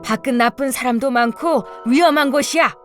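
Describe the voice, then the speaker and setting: A woman's voice: sits high, normal speed, faint music behind, lightly acted. A woman playing a stern witch guardian in a storybook dramatization, speaking in a forbidding, warning manner.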